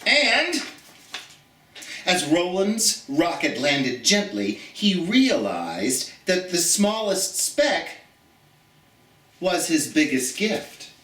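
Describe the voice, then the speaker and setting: An American man with a fairly high, very lively voice hosts storytime for children. He speaks theatrically and stretches out his key words. The sound is roomy and echoey. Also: extremely slow. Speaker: storytime host. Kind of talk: children's storytime, reading and chatting to viewers. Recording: roomy, echoey, distant from the mic.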